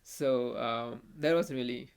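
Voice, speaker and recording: A young man with a Bangladeshi accent tells a story as a podcast guest. His voice has a gravelly edge, and his pitch sits in the middle. He speaks haltingly, with many restarts and fillers. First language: Bengali. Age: young adult. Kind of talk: casual conversational storytelling.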